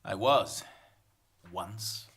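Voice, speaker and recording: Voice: deep voice, very slow, fairly bright tone, exaggerated voice. A performer playing a weary, grumpy retired gunslinger who hesitates and mutters, in a comic radio play. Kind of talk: comic stage radio play before an audience.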